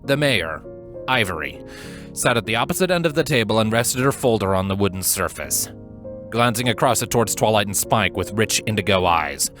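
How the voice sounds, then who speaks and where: A man's voice: lowish voice, expressive, a little fast. A man reading scripted fan fiction as a storybook narrator. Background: background music.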